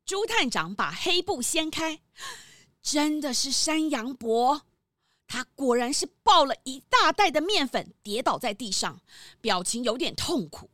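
A woman narrating a children's story in an animated storytelling style. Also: sits high, a little slow, dry recording, studio quality. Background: music.

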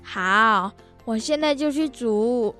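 A female performer playing a dutiful, cheeky young grandson in a children's radio drama, with simple, deliberate delivery. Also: a little high, pushed and bright, faint music behind. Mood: obedient.

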